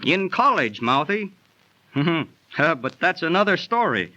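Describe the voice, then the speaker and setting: An actor playing a breezy, good-natured know-it-all hobo in a slangy, explanatory 1930s radio drama manner. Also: male voice, fairly high pitch, sing-song, a little slow, bright, forceful tone, heavily acted. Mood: storytelling. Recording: muffled 1930s radio transcription.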